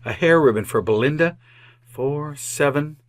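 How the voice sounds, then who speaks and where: An actor playing a meek, kindly, poor clerk in old-time radio drama style, timid, polite and deferential. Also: male voice, a little high, unhurried, close to an everyday voice. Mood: busy muttering.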